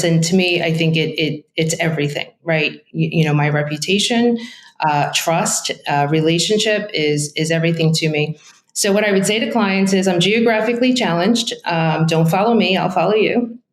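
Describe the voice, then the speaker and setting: A woman's voice: sits low, roomy sound. A middle-aged woman from Brooklyn with a thick Brooklyn accent and Italian as her first language, a real estate agent chatting candidly in an interview with lots of fillers and asides. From Brooklyn, New York. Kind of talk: casual interview.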